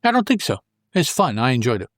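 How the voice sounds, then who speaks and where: A man co-hosting a podcast in casual chat, halting and often rephrasing as he goes. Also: medium pitch, big pitch swings, no echo, clean studio sound. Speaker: podcast co-host.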